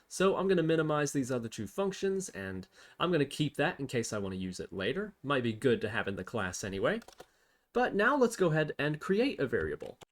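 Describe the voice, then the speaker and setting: A man hosting an unscripted programming tutorial, thinking aloud step by step as he types. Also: on the high side. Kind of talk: unscripted screen-recorded coding tutorial.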